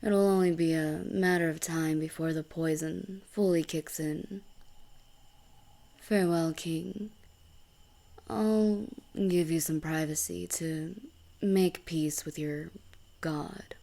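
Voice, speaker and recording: A woman acts out a female assassin in a fantasy audio drama. Her voice is dark and mellow, with a gravelly fry, and she takes her time over every taunt. She sounds smug, sly and coolly seductive. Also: deep voice, fairly even intonation, full character voice. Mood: mock regretful. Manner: mocking, unhurried, breathy chuckles. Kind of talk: fantasy audio roleplay acting.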